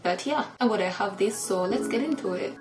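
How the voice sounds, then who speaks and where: A woman's voice: medium pitch, lively intonation, fairly quick, over loud music. Woman vlogging in an echoey room. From Uganda. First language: Luganda. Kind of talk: casual chatty lifestyle vlog. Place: indoors at home. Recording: echoey room with clear background noise.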